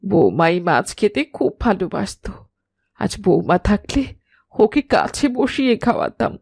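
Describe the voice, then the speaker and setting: A woman's voice: medium pitch, sing-song, normal speed, vocal fry, a little mellow, breathy edge, dry recording, studio quality, full character voice. A woman voicing a kindly, anxious elderly village mother-in-law in a cartoon, fretful and tender. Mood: sad, tender. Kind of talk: animated cartoon voice acting.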